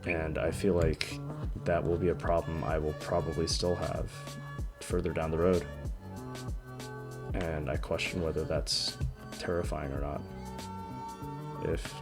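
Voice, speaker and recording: Deep voiced guy in his 20s rambling about his feelings, low voice, lots of restarts, clear music behind him. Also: little pitch movement. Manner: hesitant, halting, frequent restarts. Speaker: vlogger. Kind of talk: rambling personal monologue. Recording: music playing under the voice. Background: background music.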